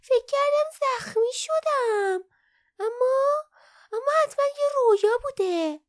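Storybook creature child: tiny, high-pitched, breathless and wide-eyed, eager, innocent, brave. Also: female voice, expressive. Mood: dazed, wondering.

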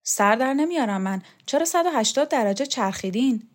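A woman voicing an earnest, anxious young male student who pleads quickly. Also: a little high, animated, voice pushed up.

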